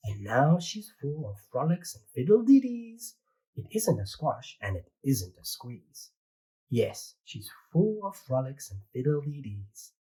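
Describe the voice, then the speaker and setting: A children's book reader narrating rhyming verse with a rhythmic, expressive lilt. The voice is husky and unhurried, with pitch that rises and falls widely. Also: low-quality recording. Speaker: storybook reader. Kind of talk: reading a children's picture book aloud.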